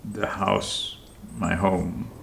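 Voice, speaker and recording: A man from Sri Lanka, a homeowner, talking in a slow, thoughtful and hesitant way about his house. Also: low voice, little pitch movement, creaky, fairly bright tone, slight background noise. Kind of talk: reflective conversational chat.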